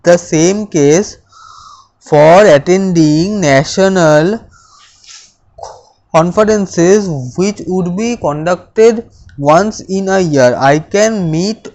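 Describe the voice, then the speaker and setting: A speaker, reading self-affirmations aloud slowly and deliberately, stammering with repeated words and restarts. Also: mid-range voice, darkish tone. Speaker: speech practice coach.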